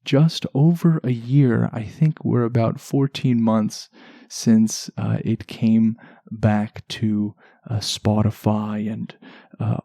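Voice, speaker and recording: A man hosting a relaxation podcast in a soft, soothing voice, chatting gently and without hurry, lulling enough to send listeners to sleep. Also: on the low side, little pitch movement, gravelly, no echo, studio quality. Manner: gentle, unhurried, chatty asides. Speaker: podcast host.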